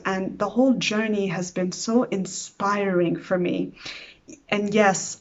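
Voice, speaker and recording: A woman's voice: medium pitch, lo-fi sound. A woman in her forties, born in Lebanon and raised in Greece, who practises EFT and talks earnestly about her own healing. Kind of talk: personal reflective talk to camera.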